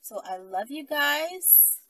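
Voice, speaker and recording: A woman's voice: high-pitched, sing-song, takes it slowly, pushed and bright, recorded from a distance, low-quality recording. A woman hosting a casual, rambling monologue for her followers, talking in a bossy, playful, slangy way. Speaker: host addressing followers. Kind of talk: casual, rambling monologue giving instructions to listeners.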